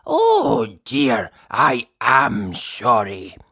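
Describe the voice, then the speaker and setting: A narrator voicing a sly male storybook tradesman who apologizes with drawn-out, exaggerated innocence. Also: medium pitch, wildly swooping pitch, bright, forceful tone, slightly rough, no room sound, clean studio sound, heavily acted. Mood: mock apologetic.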